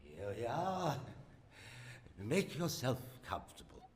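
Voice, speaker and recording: An actor playing an eccentric, sinister male scientist in a radio play, with a halting, polite manner. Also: medium pitch, wildly swooping pitch, a little hiss or hum. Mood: apologetic, ingratiating. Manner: hesitant, halting politeness with many stammers. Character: eccentric sinister male scientist, hesitant host turning coldly clinical. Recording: radio drama recording.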